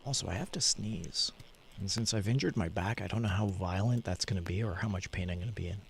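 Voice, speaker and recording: A man's voice: low-pitched, quick pace. A man hosting a livestream, chatting casually and reading aloud, rambling and narrating what he does as he goes. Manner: rambling, self-narrating, repeats himself. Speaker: livestream host. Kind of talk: casual gaming livestream chat and story readalong. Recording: clear background noise.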